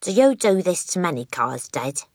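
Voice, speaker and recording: A woman's voice: fairly low pitch, very animated, moderate pace, no room sound, studio quality. A woman voicing an eager, admiring young boy in a storybook, asking things in a curious manner.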